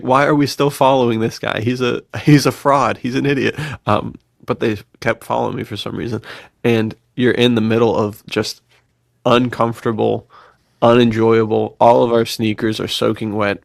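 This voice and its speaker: A man's voice: on the low side, flat delivery, normal speed, gravelly, no echo, studio quality. An American man chatting casually as a podcast co-host, slangy and bro-ish as he tells personal stories.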